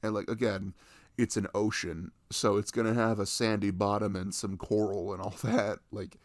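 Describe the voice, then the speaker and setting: A man's voice: lowish voice, level tone, normal speed, no room sound. A young adult man chatting casually and enthusiastically about video games in a rambling, slangy way, close to the microphone.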